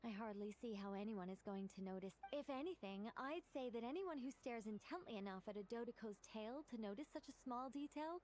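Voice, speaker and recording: A woman's voice: a little high, a little fast, few pauses, darkish tone, natural voice. A woman voicing a prim, opinionated young female mage in a video game, wordy and dryly fussy. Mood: indignant, rambling.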